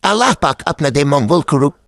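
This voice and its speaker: A man's voice: on the high side, animated, brisk, creaky, a bit bright, no echo, studio quality. A man voicing a humble, weak, destitute man in a children's cartoon, speaking plaintively. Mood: humbly grateful. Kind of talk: children's moral cartoon dubbing.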